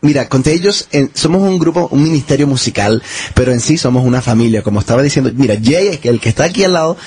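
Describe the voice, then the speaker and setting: A man's voice: medium pitch, lively intonation, fast, darkish tone, husky. A man from the United States, a band leader giving eager, rambling answers in a radio interview, often restarting his sentences.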